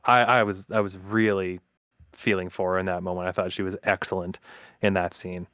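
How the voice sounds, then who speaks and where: A man's voice: sits low, level tone, moderate pace, a bit bright, clear, resonant tone, no room sound, studio quality. A man co-hosting a casual chat, talking thoughtfully with hesitant fillers and restarts. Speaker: podcast co-host.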